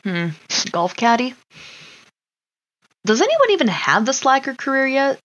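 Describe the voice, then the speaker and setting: A woman's voice: sits high, big pitch swings, measured pace, a bit bright, muffled recording. A woman giving casual, informal video game commentary, chatting to herself and to the characters as she plays. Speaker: gaming streamer. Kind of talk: casual Let's Play commentary of a life simulation game. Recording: clear background noise, mouse clicks.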